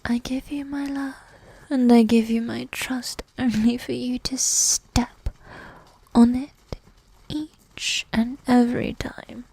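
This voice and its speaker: A woman playing a possessive, condescending evil warrior queen who dotes on her spouse, speaking in soft, breathy half-whispers in a medieval ASMR roleplay. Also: on the high side, steady tone, exaggerated voice, low-quality recording. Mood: disappointed, reproachful. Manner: slow, regal, formal phrasing with sighs.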